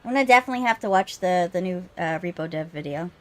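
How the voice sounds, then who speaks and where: A woman's voice: fairly low pitch, animated, fast. A woman hosting a livestream readthrough in casual chat, with some phlegm in her throat. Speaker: streamer hosting a visual novel readthrough.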